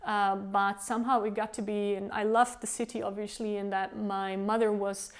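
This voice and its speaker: A woman's voice: medium pitch, little pitch movement, normal speed. A woman from the Czech Republic, a native Czech speaker with a Czech accent, earnestly answering interview questions as a tour guide. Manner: earnest, explains at length. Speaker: tour guide and company founder.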